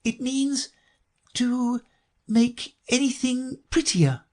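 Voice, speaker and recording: A man's voice: very high-pitched, very slow, a little mellow, pitched above the performer's own voice, heavily acted. A man voicing a polite, curious young girl in a storybook, prim and questioning, easily affronted. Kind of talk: storybook character voice.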